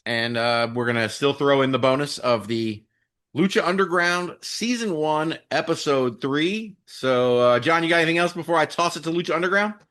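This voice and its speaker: A man's voice: on the high side, moderate pace, a bit bright. A man co-hosting a wrestling podcast in casual, chummy chat with some mild swearing. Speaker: podcast co-host.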